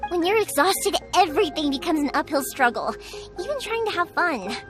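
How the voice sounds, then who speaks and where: An actress playing a cheerful, energetic young woman in an anime-style video game, chatty, expressive and earnest. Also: very high-pitched, brisk, music in the background. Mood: earnest, caring.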